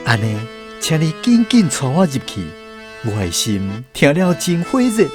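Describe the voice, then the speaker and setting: A man's voice: a little high, huge pitch swings, unhurried, over music. An actor playing a weak, weary lost man searching for peace, earnest and pleading, in a Taiwanese radio drama. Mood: hopeful.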